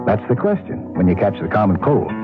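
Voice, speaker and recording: Low-voiced male radio pitchman. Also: very animated, a little fast. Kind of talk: scripted conversational radio ad. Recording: dull old radio recording with music.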